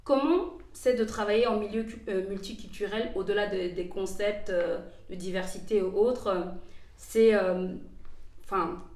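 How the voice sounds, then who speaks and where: A woman from Gabon speaks at middle pitch, often rephrasing herself as she frames questions. Her voice carries a roomy echo and sounds set back from the microphone. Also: darkish tone. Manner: searching, self-correcting. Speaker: discussion moderator. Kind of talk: casual group discussion. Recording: echoey room, distant mic.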